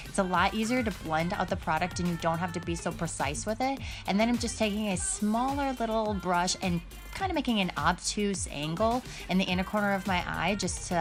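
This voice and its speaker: A young woman in her twenties, casually narrating a makeup tutorial in an upbeat, chatty way. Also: on the low side. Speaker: beauty vlogger.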